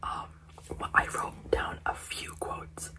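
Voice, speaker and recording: A whispering ASMR host who sounds congested from crying, rambling in a soft, meandering way with many fillers. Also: slow.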